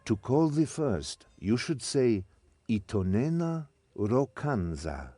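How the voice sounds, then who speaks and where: Stern old game mentor, theatrical, slow pace, swoopy pitch, dry sound. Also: male voice, big pitch swings, warm tone, no room sound. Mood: testing, instructive. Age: older. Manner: theatrical, commanding. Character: stern old male mentor, servant of a master. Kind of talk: scripted game cutscene acting.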